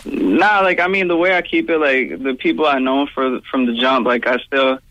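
A man's voice: medium pitch, normal speed, fairly bright tone, no echo, highs cut off. A young man, a guest musician, answering interview questions in a loose, casual way, filling his talk with "like" and "you know what I'm saying". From Pakistan-born, raised in Virginia and Toronto area.

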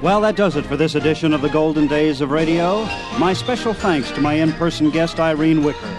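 A man's voice: fairly high pitch, fairly quick, rough recording. A man with an American accent, hosting a radio program in a scripted announcing style. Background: loud music.

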